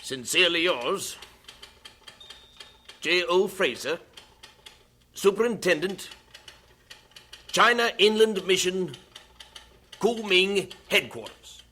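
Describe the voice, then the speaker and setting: An actor playing a worried, businesslike mission superintendent in an old radio drama. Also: male voice, sits high, lively intonation, slow pace, pauses often, bright, forceful tone, heavily acted. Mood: formal, deliberate.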